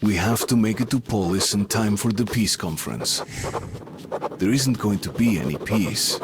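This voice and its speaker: An actor playing a weary, fatalistic soldier in a video game, speaking in terse, bleak phrases. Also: male voice, fairly low pitch, normal speed, lightly acted. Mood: grim, resigned. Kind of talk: video game cutscene acting. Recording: vehicle noise behind.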